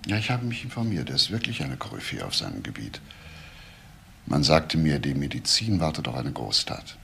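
A male actor playing a deliberate, insistent police investigator in a crime radio play. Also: a bass voice, big pitch swings, creaky, fairly bright tone, husky, exaggerated voice. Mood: probing. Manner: deliberate, pointed, questioning. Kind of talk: radio crime drama acting.

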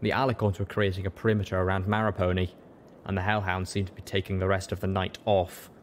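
A man's voice: low-pitched, level tone, fast, a little hiss or hum. A man reading scripted story narration as an audiobook narrator. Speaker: audiobook reader.

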